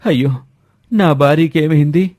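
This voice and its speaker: A man's voice: on the high side, unhurried, darkish tone, voice pushed up, lightly acted. A man voicing a destitute migrant farmer in a village storybook, humble and plaintive. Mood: alarmed.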